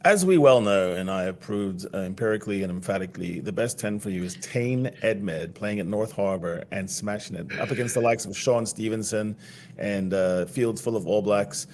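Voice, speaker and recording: A man's voice: lowish voice, normal speed, darkish tone, slight background noise. A man from South Africa co-hosting a rugby podcast in casual chat, teasing and laughing often. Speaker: podcast co-host.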